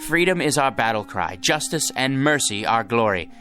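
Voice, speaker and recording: A mild, fussy wish-granted president. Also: male voice, mid-range voice, expressive, moderate pace. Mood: solemn. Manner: gentle, fussy, talks to his dog. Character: meek middle-aged man granted wishes, now president. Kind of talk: radio drama acting. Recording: radio drama with music beneath.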